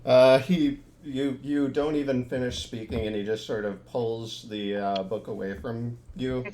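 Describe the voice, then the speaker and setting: A man's voice: mid-range voice, steady tone, unhurried, noisy background. A man narrating a tabletop horror game as game master, easygoing and rambling, full of ums and restarts.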